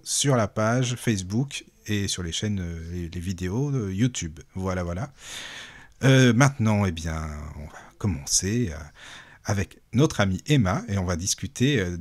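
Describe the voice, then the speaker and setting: A man's voice: lowish voice, husky, no room sound. A man hosting a small radio show, introducing the guest and giving listeners practical information, with frequent fillers. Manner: friendly, lots of fillers, promotional. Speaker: radio host.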